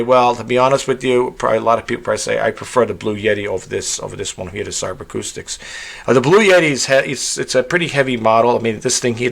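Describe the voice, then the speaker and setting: Man, gravelly, monotone, rambling casual tutorial talk, lots of ums and restarts. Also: medium pitch. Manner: rambling, many fillers and restarts. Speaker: content creator.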